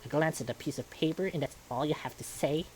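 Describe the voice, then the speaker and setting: A man's voice: a little high, quick pace, a little mellow, a little hiss or hum, voice pushed up, natural voice, rough recording. A man voicing a young furry animal prince who is sullen and impatient, speaking in a terse, resentful way.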